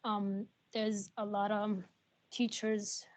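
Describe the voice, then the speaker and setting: A young woman whose first language is Arabic, telling her story on a video call in halting English full of pauses. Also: mid-range voice, level tone. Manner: halting, many ums, soft and earnest. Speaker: nursing graduate.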